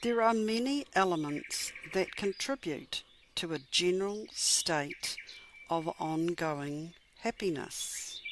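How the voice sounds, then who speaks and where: Woman, meditation guide, very slow pace, slightly low pitch, gentle and soothing, long pauses, birdsong behind. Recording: clear background noise with birdsong. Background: birdsong and chirping.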